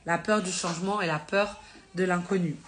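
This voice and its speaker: A woman with a somewhat low voice, a little congested from a cold, speaks to her live-stream viewers about faith. She talks in an earnest, encouraging, informal way and often corrects herself mid-sentence. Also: fairly even intonation, moderate pace, slight background noise. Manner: conversational, with many fillers and self-corrections.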